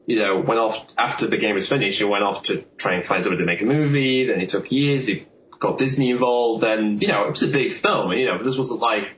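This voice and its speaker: A man's voice: on the low side, fast, fairly bright tone. A man in casual, rambling, chatty discussion, as co-host of a film podcast. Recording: roomy, echoey sound with faint noise.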